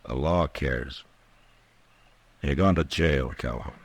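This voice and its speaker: An actor playing a steady, fearless American marshal in a 1950s radio western, speaking in terse, measured lines. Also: male voice, extremely low pitch, slightly rough, heavily acted. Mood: grim, weary. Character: stern, steady, fearless Old West U.S. marshal.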